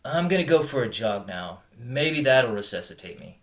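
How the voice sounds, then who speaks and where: Male investigator's partner played by a man: mid-range, measured, understated wit, radio-play delivery. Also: medium pitch, sing-song, a bit bright. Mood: weary, discouraged. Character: weary, witty man, partner in amateur investigation. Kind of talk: audio drama acting.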